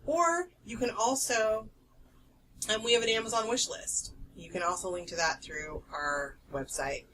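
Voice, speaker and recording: A woman co-hosting an art podcast in casual chat, loud and carrying, chatty, swearing freely and laughing often. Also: medium pitch, unhurried, far from the mic, a little hiss or hum, low-quality recording. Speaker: podcast co-host. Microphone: desk podcast microphone.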